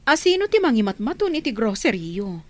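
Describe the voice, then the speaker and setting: An actress playing a concerned, brisk and kind businesswoman in an Ilocano radio drama. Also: a little high, big pitch swings, darkish tone, no echo. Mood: firm, indignant. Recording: music under the voice.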